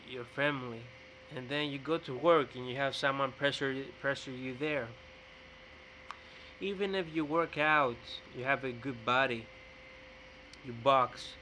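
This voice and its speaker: A young man from Greece with a Greek accent, rambling in a casual vlog rant to his webcam in a bright indoor room, often repeating and restarting words. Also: medium pitch, slight background noise, rough recording. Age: young adult. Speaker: vlogger. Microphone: webcam close up.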